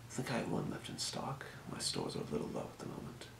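A man playing a calm fantasy potion shopkeeper in a breathy, measured, courteous whisper for an ASMR role play. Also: deep voice, echoey room, noisy background, full character voice. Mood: calm, helpful. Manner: measured, murmuring, courteous merchant patter. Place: small indoor room.

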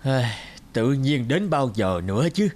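A man's voice: mid-range voice, sing-song, measured pace, darkish tone. A man voicing an elderly mother in a cartoon fairy tale, fond and insistently urging. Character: elderly mother, fond, nagging for a daughter-in-law. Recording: music under the voice.

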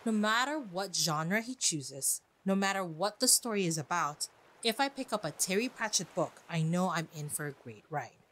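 A woman hosting a podcast in a chatty, expressive monologue, speaking directly to her listeners. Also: mid-range voice, poor audio. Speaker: podcast host. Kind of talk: podcast monologue on classic books.

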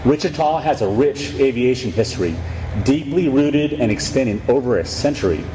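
A man, a military commander, delivering a prepared speech in declamatory, measured phrases from a podium in a large hangar. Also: medium pitch, expressive. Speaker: military squadron commander speaking at ceremony. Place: large open hangar facing airfield. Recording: reverberant hangar PA with ambient noise.

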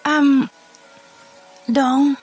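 A woman's voice: high-pitched, level tone, very slow, darkish tone, soft background music. A woman playing a demanding, pleading wife in a comic audio drama. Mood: coaxing. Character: high-voiced, demanding wife calling her husband.

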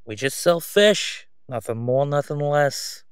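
A man playing a slick middle-aged crime boss in a roleplay audio drama, with a sarcastic, condescending, smooth-talking delivery. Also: fairly high pitch, lively intonation, takes it slowly, dry recording, clean studio sound. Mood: feigned innocence. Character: slick middle-aged male crime boss, mocking, sly, self-assured.

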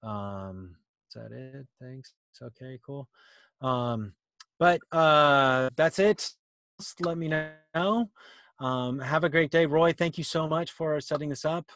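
A man in his fifties with a mid-pitched voice leads an online how-to session. He talks casually, thinking aloud as he explains. The sound is dry, from a video call in a small room. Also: slow, a bit bright, no echo. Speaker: workshop host.

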